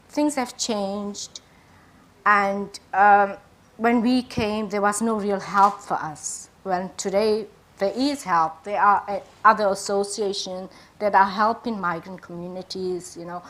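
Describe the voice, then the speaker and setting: A middle-aged woman from South Africa, telling her own story as a panelist in a measured, reflective way. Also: mid-range voice, a little hiss or hum. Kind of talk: personal story at a panel discussion.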